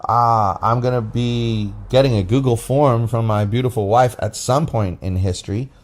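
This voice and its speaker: A man hosting a casual podcast with his wife, talking in a deep, bassy voice and rambling loosely with restarts and fillers. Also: moderate pace. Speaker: podcast host. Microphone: phone app recording.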